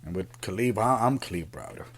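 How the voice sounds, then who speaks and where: A man, born in Puerto Rico and raised in the Bronx, giving an earnest, unscripted opinion monologue as a podcast host, often halting and repeating himself. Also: on the low side, lively intonation, husky. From Puerto Rico, raised in the Bronx, New York City.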